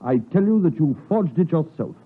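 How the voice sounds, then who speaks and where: An actor playing a shrewd, direct gentleman in a 1950s radio drama, speaking articulately and with emphasis. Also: fairly high pitch, animated, normal speed, breathy edge. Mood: accusing, insistent. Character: probing gentleman friend of the doctor, shrewd and direct. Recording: muffled 1950s radio broadcast.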